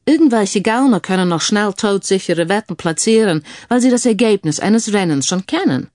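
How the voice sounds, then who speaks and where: A man's voice: high-pitched, expressive, normal speed, darkish tone, dry recording, voice pushed up. A woman narrator plays a confident male computer sleuth in an audiobook crime story. He explains things with smug, analytical assurance and sprinkles in English phrases. The sound is dry and close. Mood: confident, explaining. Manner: peppers speech with English phrases.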